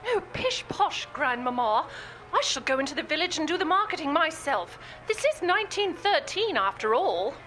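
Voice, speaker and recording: Deluded young would-be English aristocrat for radio comedy: bright, animated, mannered, grandly earnest. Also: female voice, high-pitched, moderate pace, faint music behind. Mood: breezily haughty. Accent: put-on upper-class English. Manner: melodramatic, haughty, mannered. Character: deluded young Minnesota woman playing a grand Edwardian English lady. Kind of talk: comic radio play parody trailer.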